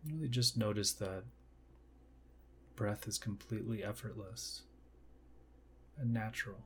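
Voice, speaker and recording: A man leading a guided meditation, speaking gently and unhurriedly in short phrases with long pauses. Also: on the low side, steady tone, a little hiss or hum.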